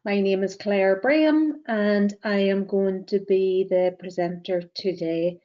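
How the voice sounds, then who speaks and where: A woman with a Northern Irish accent presenting a webinar as a greenhouse gas verifier, speaking in a measured way with frequent ums and uhs. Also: mid-range voice, warm tone, highs cut off. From Northern Ireland.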